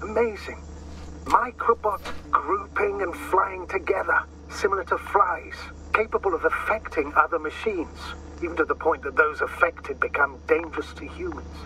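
Bright-voiced formal space commander over radio. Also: male voice, fairly high pitch. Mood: analytical, intrigued. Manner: deliberate, formal, analytical. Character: analytical, formal male space mission commander, adult. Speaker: astrogator, superior officer. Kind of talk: dramatic game voice acting. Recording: slightly noisy, distant radio link.

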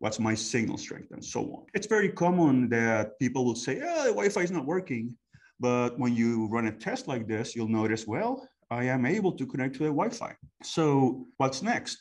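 A man's voice: mid-range voice, very animated, fairly quick. Man explaining tech in a conversational way. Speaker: product expert giving a demonstration. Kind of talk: unscripted technical explanation.

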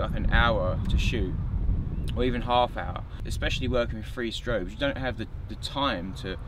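A man's voice: medium pitch, background noise. A young man hosting a photography vlog, chatting casually to camera with fillers and restarts, recorded on a lapel mic in a small studio. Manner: relaxed, rambling with fillers and repeated restarts. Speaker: photographer and channel host. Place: small studio office, indoors.

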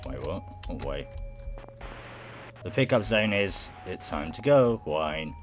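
A young man with a very deep voice reads game text aloud at a slow pace. He stumbles a little as he goes and drops in casual remarks, with music playing underneath. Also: very animated. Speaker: gamer playing and reading a visual novel aloud. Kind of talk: let's play, reading game text aloud with casual reactions. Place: indoor room at home.

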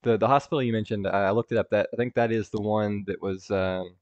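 A man hosting a casual interview over a video call, speaking in a measured way with hedges like "I mean" and "like". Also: fairly low pitch, steady tone, a little mellow, no echo, highs cut off. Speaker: host.

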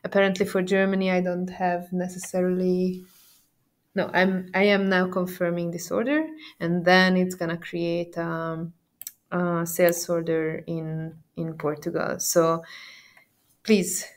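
Female software trainer, lower register, conversational explainer with hesitant phrasing. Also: flat delivery, a little slow. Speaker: software trainer presenting a demo.

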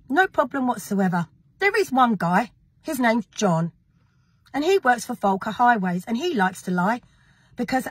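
A woman, her voice gravelly after an illness, talking indignantly and emphatically straight to camera in a vlog. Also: on the high side, no room sound. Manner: indignant, emphatic, addressing critics directly. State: gravelly, recovering from illness, with a cough. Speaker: activist vlogger.

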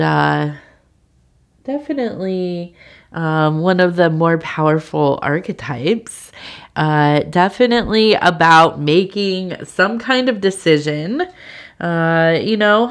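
A woman with a congested cold giving a soft-spoken, meandering tea leaf reading, with frequent fillers. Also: on the low side, vocal fry. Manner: slow, meandering, with many fillers and soft laughs. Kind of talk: soft-spoken ASMR tarot-style reading.

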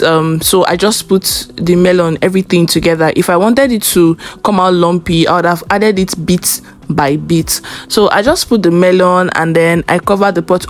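Chatty Nigerian woman narrating her cooking. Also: lowish voice. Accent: Nigerian English. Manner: chatty, instructive, with loose asides. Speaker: cooking vlogger. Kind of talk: casual cooking voice-over.

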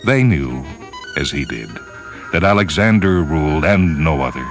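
A man reading a story as a dramatic narrator, with measured, solemn and deliberate phrasing. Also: rumbling low, expressive. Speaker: narrator reading short fiction. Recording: music under the voice. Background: orchestral piano music.

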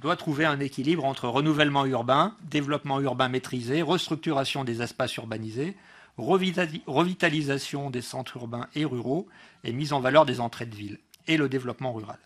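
A man's voice: mid-range voice, a bit bright, husky. Hesitant Frenchman addressing a council meeting. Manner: hesitant delivery, frequent pauses and self-corrections. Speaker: local councillor. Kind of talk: political speech at a council meeting.

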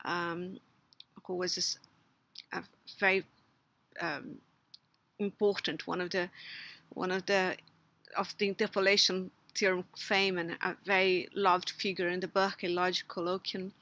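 A woman's voice: lowish voice, flat delivery, unhurried, pauses often, bright, forceful tone. A middle-aged woman with a Brazilian Portuguese accent, a logician giving a recorded memorial tribute, partly read, hesitant, with many fillers and restarts. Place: outdoors under a patio umbrella in a garden.

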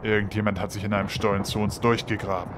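A man's voice: fairly low pitch, level tone, fast talker, noisy background, natural voice. A man playing a grim, guarded leader of reapers in an audio drama, terse and grave. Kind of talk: German audio drama acting.

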